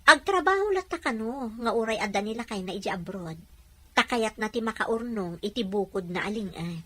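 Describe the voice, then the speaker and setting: A woman's voice: medium pitch, lively intonation, moderate pace, breathy edge. A woman playing a kind, patient wife and friend in a conversational audio drama. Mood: explaining.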